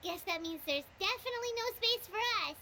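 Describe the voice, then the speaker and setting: Very high, squeaky little fairy companion. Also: female voice, expressive, brisk, bright, forceful tone, slight background noise, full character voice. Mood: let down. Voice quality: squeaky, childlike. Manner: chirpy, inquisitive. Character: small, childlike, curious floating fairy companion. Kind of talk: video game voice acting.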